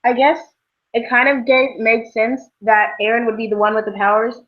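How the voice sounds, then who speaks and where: A young woman with a fairly high voice co-hosts a casual book chat. She speaks informally, as if among friends. The recording is a little dull and lo-fi. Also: little pitch movement, normal speed. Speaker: book discussion show co-host. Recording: dull, lo-fi sound.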